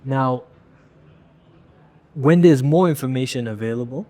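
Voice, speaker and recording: A man from Rwanda, a fashion brand founder, answering interview questions candidly in a halting way with frequent restarts. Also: medium pitch, dark tone.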